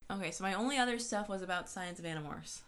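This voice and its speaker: A woman's voice: medium pitch, fast talker, a bit bright. A woman co-hosting a podcast in a casual, science-minded discussion, reasoning aloud with questions and checking for agreement. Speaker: podcast co-host.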